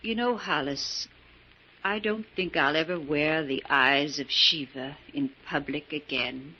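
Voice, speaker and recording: Low-voiced, genteel wealthy widowed aunt. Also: female voice, unhurried. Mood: uneasy. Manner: genteel, formal, admonishing. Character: wealthy, stern but caring older widowed aunt. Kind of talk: 1940s radio drama acting. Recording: muffled, noisy old radio recording.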